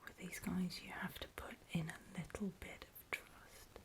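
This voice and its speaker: A woman playing a grave, weary apocalypse survivor in a horror ASMR roleplay, speaking in a soft, breathy, hesitant whisper. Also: on the low side, fairly even intonation, a little slow. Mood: cautioning. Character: grave, weary female apocalypse survivor briefing others on zombies.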